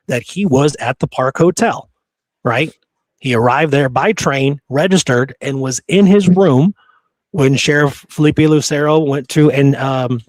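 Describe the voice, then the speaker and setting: A man's voice: medium pitch, no echo, low-quality recording. A man hosting a podcast, discussing history in a casual, conversational way with blunt, opinionated remarks. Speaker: podcast host.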